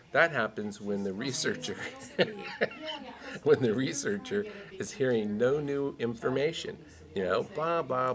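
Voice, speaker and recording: A man voicing a goofy academic researcher puppet in a comic explainer, playful and silly. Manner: playful, silly, with high whoops.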